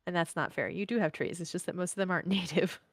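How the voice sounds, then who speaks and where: A woman's voice: fairly low pitch, fast talker, no room sound. A woman from southern Missouri with a mild Midwestern accent, chatting casually and laughing often while streaming a game.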